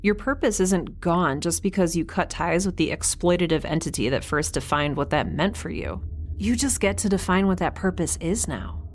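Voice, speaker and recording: Low-pitched, earnest woman in space drama. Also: lively intonation, normal speed, a little hiss or hum. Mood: earnest, encouraging. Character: frank, principled woman, caring space crewmate to a captain. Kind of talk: audio drama acting, naturalistic dialogue.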